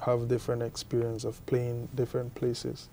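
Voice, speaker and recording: A young man from Guyana being interviewed about his cricket, answering haltingly and often repeating his words. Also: medium pitch, monotone, mellow, slight background noise, low-quality recording. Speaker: cricketer guest.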